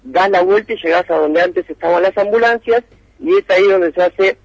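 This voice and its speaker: A man, speaking as a radio reporter over the phone. His voice sits slightly high for a man and is dry and close. He rambles through the details, stopping on frequent fillers. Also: moderate pace, dry recording, highs cut off. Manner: meandering, hesitant with many fillers.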